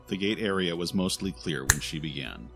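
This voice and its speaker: A man's voice: deep voice, moderate pace, over loud music. A man reading scripted audio drama narration in a dramatic, solemn storytelling manner.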